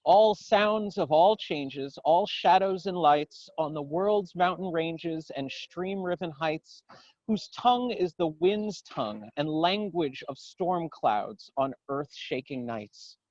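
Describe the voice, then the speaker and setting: A man gives a dramatic poetry recitation outdoors, in solemn, declamatory, measured phrases. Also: on the high side, lively intonation, a little mellow, no echo, muffled recording. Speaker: poetry reader. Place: outdoors in a garden.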